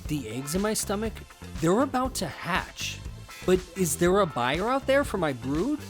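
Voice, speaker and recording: Casting: woman doing an eerie egg-laden creature, lowish, lively intonation. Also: a little slow. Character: egg-laden female creature selling her brood. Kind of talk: audio drama fake advert. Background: music.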